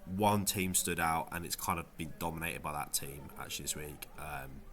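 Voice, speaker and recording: A deep-pitched man whose voice comes out nasal from a head cold. His tone is bright and forward, and he talks through his results in a loose, rambling way, full of ums and false starts. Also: moderate pace. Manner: rambling, lots of ums and restarts. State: has a cold, sounds nasal. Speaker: fantasy football video creator. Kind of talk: casual gameweek review vlog.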